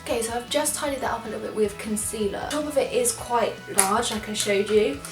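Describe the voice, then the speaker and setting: Young English woman, slightly high voice, nasally from a cold, bubbly beauty vlogger chat in an echoey room, faint music under. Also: fast talker. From England. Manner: chatty, enthusiastic, apologetic asides. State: congested from a cold. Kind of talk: casual product try-on chat.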